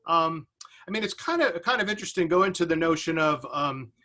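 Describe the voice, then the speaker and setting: Bright, higher-pitched man thinking aloud. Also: animated. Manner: halting, searching delivery with repeated words. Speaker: panelist. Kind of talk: unscripted panel discussion.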